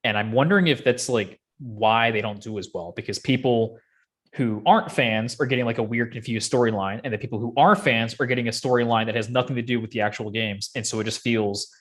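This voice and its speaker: A man's voice: medium pitch, brisk, fairly bright tone. Casual joking man co-hosting a chat. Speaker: podcast co-host. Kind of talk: casual movie discussion.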